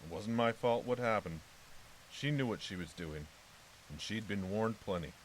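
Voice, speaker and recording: A man's voice: lowish voice, lively intonation, normal speed, close to an everyday voice, poor audio. A man playing a laconic, wary keeper of a haunted house in an audio drama, with a folksy manner. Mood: stoic. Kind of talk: naturalistic audio drama acting. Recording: clearly hissy recording.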